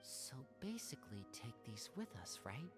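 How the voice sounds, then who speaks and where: A woman voicing a lively, determined young female magician in an anime game. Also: extremely low pitch, unhurried, exaggerated voice. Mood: checking. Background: music.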